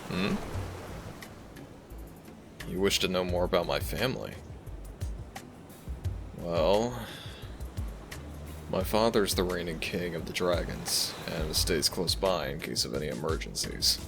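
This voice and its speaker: A man playing a gentle young dragon prince, a devoted boyfriend talking tenderly to the listener in a romantic audio roleplay. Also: deep voice, slow, vocal fry, pauses often, heavily acted. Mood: fond, reflective. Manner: tender, affectionate, soft-spoken reassurance. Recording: music behind the voice. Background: background music.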